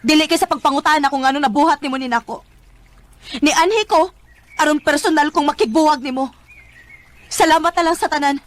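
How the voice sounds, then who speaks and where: Actress playing a high-voiced, emotive young Cebuano girlfriend. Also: fairly quick, long pauses, slight background noise, lightly acted. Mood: bitter, confronting. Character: young woman Hope, lovelorn girlfriend turned firm narrator. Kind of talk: Bisaya radio drama acting.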